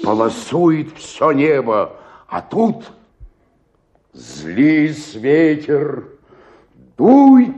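An actor playing an older, self-pitying tragic stage actor in a radio play, declaiming theatrically and swinging from murmur to roar. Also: male voice, mid-range voice, vocal fry, a little mellow, full character voice. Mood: grandiose, raging.

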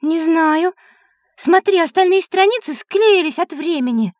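A woman's voice: very high voice, animated, a little slow, no room sound, studio quality. A woman voicing a curious, thoughtful, polite little girl in a children's audio play.

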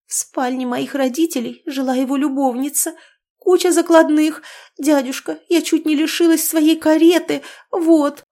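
A woman's voice: high voice, steady tone, normal speed, warm tone, pitched above the performer's own voice. A woman voicing a young noblewoman who plays at naive, tearful outrage with a wailing, gushing manner. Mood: shrill complaint. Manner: wailing, gushing like a silly girl.

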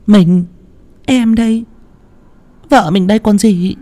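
A man voicing a frantic middle-aged village wife in a shrill, pleading falsetto. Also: slow, dark tone. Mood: worried.

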